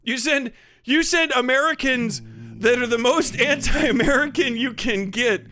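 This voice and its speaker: A man's voice: very high voice, unhurried, pushed and bright. A man streamer ranting casually and sarcastically, swearing and laughing often. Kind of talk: casual live stream rant.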